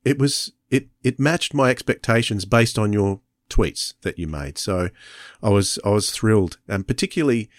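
A man's voice: on the low side, normal speed, few pauses, dry recording, studio quality. A man co-hosting a podcast, chatting casually and appreciatively about what he has heard, often repeating phrases as he feels his way along. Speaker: podcast co-host. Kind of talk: casual review chat.

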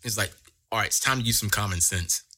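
A man's voice: on the low side, fast talker, sharp tone. A man co-hosting a casual podcast, explaining his views earnestly and at length. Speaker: podcast co-host. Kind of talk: casual group chat.

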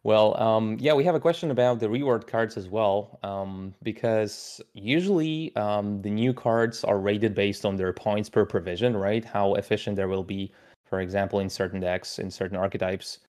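A man co-hosting a podcast in English, chatty and relaxed, with lots of "you know". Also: on the low side, normal speed. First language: Polish. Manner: chatty, relaxed, filler-heavy. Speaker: podcast co-host. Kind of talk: casual podcast chat.

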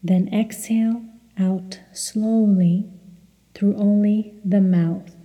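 A woman narrates a guided meditation in a very soft, dark, relaxed tone. She speaks slowly and soothingly, with measured instruction, and her voice trails off in a long reverb. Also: medium pitch.

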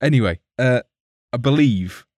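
A man's voice: medium pitch, animated, normal speed, darkish tone, no echo, clean studio sound. A man hosting a casual podcast, rambling and often laughing as he trips over his words. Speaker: podcast host.

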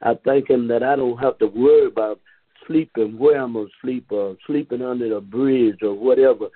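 Church member testifying by phone: husky man, earnest and repetitive, tinny line. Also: medium pitch, steady tone, normal speed. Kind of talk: testimony on a church conference call. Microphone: telephone.

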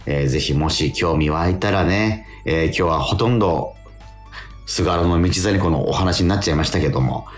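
A man's voice: low-pitched, normal speed, faint music behind. A man hosting a casual radio chat, reacting with frequent exclamations and interjections. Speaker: radio show host.

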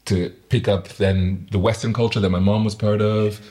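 A man with a low voice holds a casual interview conversation. He talks in a reflective, halting way, often circling back to rephrase what he has started. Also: flat delivery, mellow. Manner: reflective, halting, self-correcting.

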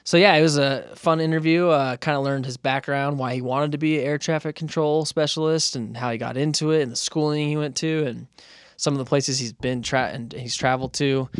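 A man's voice: medium pitch, no echo, clean studio sound. A man with an American accent hosting a podcast and chatting in a loose, informal, conversational way. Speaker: podcast host and interviewer. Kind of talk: casual podcast chat.

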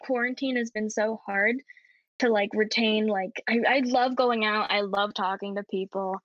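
A young woman with a high, squeaky voice, chatting as a podcast guest, giggly and rambling with many fillers. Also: fairly even intonation, moderate pace, a bit bright, no room sound, muffled recording. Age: young adult. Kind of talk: casual podcast chat.

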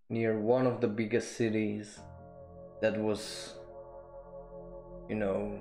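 A man's voice: fairly low pitch, a little mellow. A man hosting a podcast, talking casually and bluntly about history, rambling with many fillers, restarts and the odd swear word. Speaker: podcast host.

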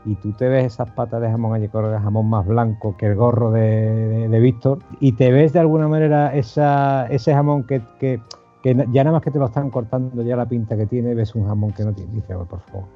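A man's voice: medium pitch, fairly even intonation, a little fast, soft background music. Guy rambling on a podcast, keeps restarting, sounds like a phone line. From Huelva, Spain. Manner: enthusiastic, rambling, many restarts. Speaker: podcast guest. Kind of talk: casual chat.

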